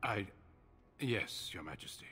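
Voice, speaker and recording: A grave veteran commander addressing his superiors with restraint. His voice is low and husky. The delivery is respectful, dramatic fantasy game acting. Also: lively intonation, unhurried, sharp tone, big-room sound, soft background music, heavily acted. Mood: hesitant, submissive.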